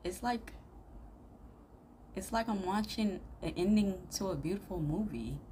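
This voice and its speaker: A young woman giving casual, expressive reaction commentary in an informal, admiring way. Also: mid-range voice, a little slow, soft background music. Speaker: reaction video host.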